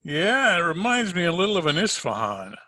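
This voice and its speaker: A man playing a wisecracking, unhurried hardboiled detective in an old-time radio drama, wry and slangy. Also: high-pitched, sing-song, pushed and bright, exaggerated voice. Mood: casual, probing. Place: home, recorded over a video call.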